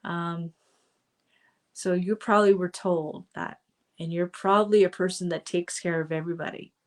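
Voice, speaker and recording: A woman coaching viewers in a casual live stream, chatty, with many fillers, and slightly hoarse from a cold. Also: fairly low pitch, steady tone, moderate pace. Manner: chatty, with frequent fillers and asides.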